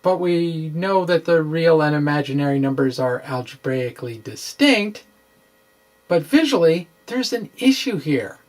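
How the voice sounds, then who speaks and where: A middle-aged man presenting an informal science explainer, with halting, emphatic delivery and wry asides. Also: fairly high pitch, animated. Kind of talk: informal dramatic reading of a physics explainer. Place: small indoor room with bookshelves. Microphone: microphone on stand close in front.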